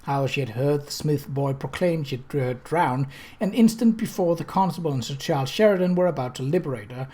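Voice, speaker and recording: A storyteller reading a novel as an audiobook, in a measured, literary narrative style. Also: medium pitch, lively intonation, mellow, husky. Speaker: audiobook reader.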